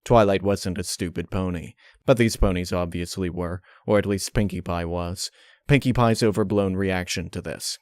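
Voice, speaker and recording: A man reading a dark fan fiction story as narrator, in a measured, dramatic way. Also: deep voice, no room sound, studio quality. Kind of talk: dark audiobook-style narration.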